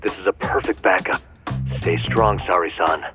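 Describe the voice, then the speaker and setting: An actor playing a wry, sardonic man quietly coaching his partner in a video game scene. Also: low voice, moderate pace, vocal fry. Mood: wry, urging. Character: wry male accomplice coaching over an earpiece. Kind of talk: video game cutscene acting. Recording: music in background.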